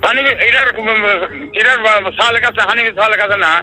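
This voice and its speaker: A man's voice: extremely high pitch, steady tone, fairly quick, bright, forceful tone. A middle-aged or older man with a Bangladeshi accent in a casual phone conversation, confused and asking again and again. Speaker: father on a phone call. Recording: phone call recording, faint music behind.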